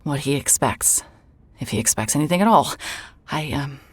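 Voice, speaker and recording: An actress playing a rueful, conflicted woman reporter with a husky voice and halting delivery in a naturalistic audio drama. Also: low voice, animated, no room sound. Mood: awkward, remorseful. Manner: hesitant, halting.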